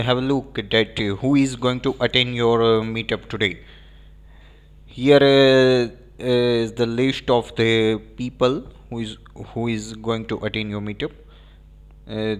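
A man with an Indian English accent giving a screen-recorded software walkthrough, pausing often with fillers. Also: medium pitch, clean tone. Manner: hesitant, frequent filler pauses. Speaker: software demo presenter.

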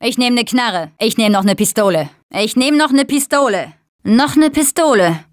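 A young woman voicing a tough video game survivor of a zombie apocalypse in short, casual, slangy, expressive lines. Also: fairly high pitch, moderate pace, dry recording, clean studio sound, close to an everyday voice. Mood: pleased. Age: young adult.

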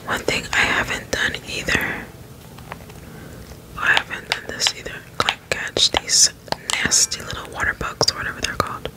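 A woman whispering soft, rambling ASMR commentary over gameplay in an unhurried way. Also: low-quality recording. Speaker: let's play streamer.

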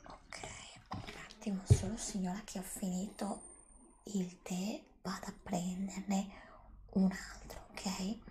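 Whispering woman, slow ASMR roleplay. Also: medium pitch, flat delivery, mellow. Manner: polite, attentive shop-server patter, soothing repetition. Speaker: ASMR performer playing a bubble tea bar server.

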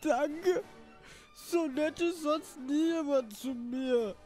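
Slow, high-voiced, distraught oddball. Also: a little mellow, soft background music. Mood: sad, grateful. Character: distraught eccentric homeless fish owner Norman, male, scatterbrained and lonely. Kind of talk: parody detective audio drama.

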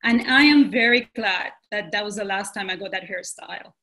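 A woman's voice: medium pitch, bright, forceful tone. Woman giving a talk. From Puerto Rico. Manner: earnest and measured, reading a script. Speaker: pediatrician presenter. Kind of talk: prepared presentation. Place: home room with bookshelves. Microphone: video call.

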